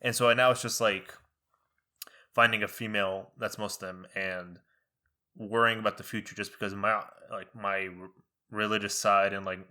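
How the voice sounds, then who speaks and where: A man's voice: fairly low pitch, little pitch movement, normal speed, pushed and bright. A young man co-hosting a podcast in casual chat, rambling and restarting his sentences, joking loosely, with Arabic as his first language. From United States. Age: young adult. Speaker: podcast co-host.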